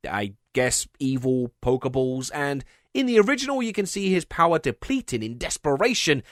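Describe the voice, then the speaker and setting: Male video essayist, scripted narration, wry, hugely animated pitch, dry close sound. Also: normal speed, no room sound. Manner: wry, sarcastic asides.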